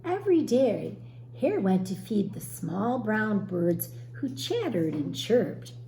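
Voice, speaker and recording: Slow-paced woman reading to children, echoey room. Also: medium pitch, big pitch swings, dark tone. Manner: questioning, explains words to young listeners. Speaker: teacher reading a storybook aloud. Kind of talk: expressive children's read-aloud with explanatory asides. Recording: roomy echo, faint background noise.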